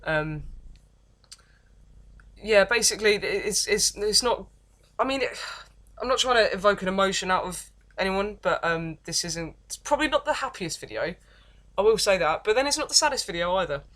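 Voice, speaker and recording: A young woman with an English accent and a deep voice, telling her life story in a rambling, self-deprecating vlog with many false starts. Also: lively intonation, normal speed, a bit bright. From England. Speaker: vlogger. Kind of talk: casual confessional vlog, reading from notes.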